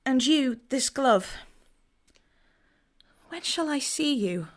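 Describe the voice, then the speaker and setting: A woman's voice: high voice, expressive, slow. A woman playing a grief-stricken young Trojan noblewoman in love, speaking classical verse with theatrical emotion in an audio drama. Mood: hurt, pleading.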